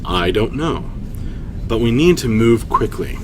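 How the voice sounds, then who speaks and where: A man's voice: medium pitch, expressive, a little slow, darkish tone, faint music behind, natural voice. A man voicing a grave, wary male adventurer in a fantasy storybook, subdued and serious. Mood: urgent.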